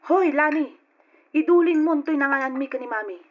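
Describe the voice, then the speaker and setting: A woman's voice: high voice, brisk, over music, close to an everyday voice. A woman playing a bossy, mocking young cousin, taunting and commanding in an old radio drama. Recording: dull lo-fi old radio recording.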